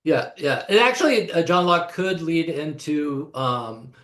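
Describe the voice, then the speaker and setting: A man from the United States, a podcast guest, explaining ideas in a thoughtful, unhurried way with frequent pauses. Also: fairly high pitch, very animated, a bit bright. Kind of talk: casual conversational discussion. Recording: echoey room.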